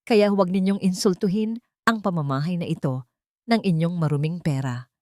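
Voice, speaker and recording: A woman's voice: fairly low pitch, moderate pace, dry recording, studio quality, close to an everyday voice. Indignant proud old village man, read by a woman. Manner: shouting, trembling with emotion.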